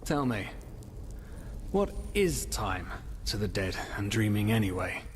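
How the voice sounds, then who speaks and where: A weary, despairing prisoner from a fantasy video game. He is half-mad and philosophical, dragging out halting thoughts at a very slow pace in a middle-pitched voice. Long hall reverb surrounds him, with faint noise underneath. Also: big pitch swings. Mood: bitter, brooding. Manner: brooding, halting, philosophical, with sighs and whispery trailing words. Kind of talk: dramatic video game dialogue acting.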